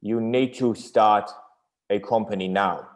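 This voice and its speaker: A man, answering interview questions in halting, English and searching for words. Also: on the low side, steady tone. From Iran until age six, then Germany. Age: 30s. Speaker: company owner being interviewed.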